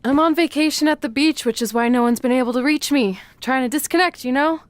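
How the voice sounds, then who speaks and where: A woman's voice: high voice, quick pace, no echo, close to an everyday voice. An actress in a video game cutscene playing a guarded, dry young female pro wrestler. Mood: guarded, casual.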